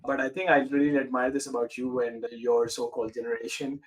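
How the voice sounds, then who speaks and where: A man's voice: mid-range voice, level tone, fairly quick, low-quality recording. A man in his thirties hosting a podcast interview, talking in a hesitant way, with many "uh"s and restarts. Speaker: podcast host.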